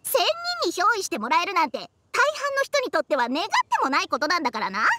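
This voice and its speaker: Snake spirit for a game: high, animated, sassy, proud, rough-spoken. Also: female voice, fast talker, heavy background noise. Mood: boastful. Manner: sassy, boastful, rough casual phrasing. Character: century-old talking snake spirit, cocky, sharp-tongued, proud. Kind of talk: video game voice acting.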